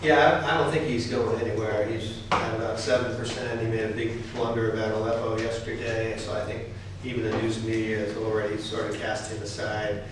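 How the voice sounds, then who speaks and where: Middle-aged American male consultant, even delivery, distant mic, audible room noise. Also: fairly low pitch, fairly quick. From United States. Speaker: political consultant. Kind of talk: panel discussion answering questions. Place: university lecture hall. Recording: echoey lecture hall from far off.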